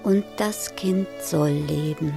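An actress playing a sombre, detached chorus narrator in a literary radio drama, speaking in terse fragments. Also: female voice, sits low, slow pace, vocal fry, music in the background, exaggerated voice.

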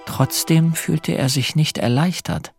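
A man's voice: on the high side, slow, gravelly, music in the background. A man playing a lonely, wronged, polite bookseller in a radio drama, subdued in manner. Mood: melancholy. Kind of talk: radio drama dialogue and inner monologue.

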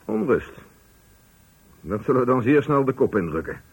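An actor playing a hard-nosed, pompous factory director in a radio play, condescending and scornful of his workers, with a husky voice. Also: mid-range voice, big pitch swings, moderate pace, highs cut off, exaggerated voice. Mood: indignant. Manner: pompous, condescending, rhetorical questioning. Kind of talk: Dutch radio play acting.

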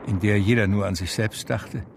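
An actor playing a reflective man who tells his life story in measured literary radio drama narration. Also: lowish voice, fairly even intonation, background noise, natural voice. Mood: sober, reflective. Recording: music under the voice. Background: music.

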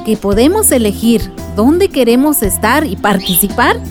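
A woman's voice: on the high side, big pitch swings, moderate pace, music in the background. A woman playing an affectionate, encouraging aunt with a Mexican accent in a radio drama. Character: warm, encouraging aunt, easily winded.

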